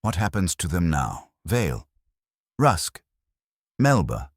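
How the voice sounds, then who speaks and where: A man voicing a nervous, earnest young woman who confesses her part in a scheme, his voice pitched up and hesitant. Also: sing-song, slow pace, breathy edge, no echo, studio quality, exaggerated voice. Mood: anxious.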